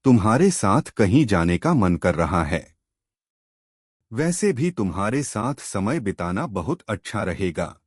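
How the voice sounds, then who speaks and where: A man's voice: fairly low pitch, big pitch swings, unhurried, darkish tone, breathy edge, dry recording, clean studio sound, exaggerated voice. A man voicing a cheerful, teasing older mother-in-law in a storybook tale, bantering playfully. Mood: affectionate.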